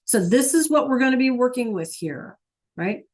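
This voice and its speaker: A woman's voice: sits high, lively intonation, normal speed, a little mellow. A woman teaching an online Spanish class, patient and checking in with her students often.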